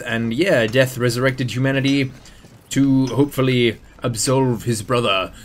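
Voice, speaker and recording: A man's voice: mid-range voice, slight background noise. A man giving casual, slangy commentary as he plays a video game, joking and muttering to himself. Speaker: gamer, video game player. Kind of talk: casual let's play commentary. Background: video game sound.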